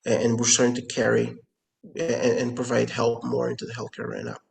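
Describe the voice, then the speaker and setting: Curacao-guy, papiamento speaker, earnest, keeps restarting words, mid pitch, remote call. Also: completely flat delivery, a little fast, gravelly. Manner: earnest, repeats words as he searches for phrasing. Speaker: company founder, interview guest. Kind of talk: explanatory business conversation.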